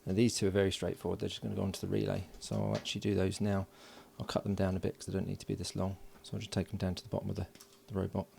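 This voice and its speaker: A middle-aged man narrating his electronics build at a home workbench, relaxed and filling pauses with ums and uhs. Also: low-pitched, creaky, a little hiss or hum. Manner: relaxed, thinking aloud with many fillers. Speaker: electronics hobbyist.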